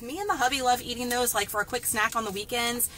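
A woman's voice: a little high, fast, pushed and bright. A woman, chatting upbeat to viewers as she walks through store deals, then films from a parked car. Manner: upbeat, talks straight to viewers with "y'all" and "guys". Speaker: couponing vlogger. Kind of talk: casual chatty deal walkthrough. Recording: noisy store and car background.

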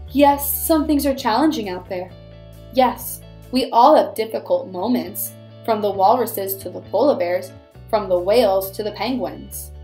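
A woman's voice: on the high side, dark tone. A woman voicing a wise, kindly male walrus in a storybook, formal, eloquent and reassuring. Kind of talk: storybook read-aloud.

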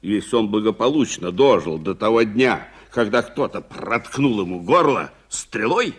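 A man's voice: on the low side, expressive, moderate pace, husky. A man acting a bitter, proud, hot-tempered old veteran in a radio play, declaiming with indignation. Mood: bitter, indignant.

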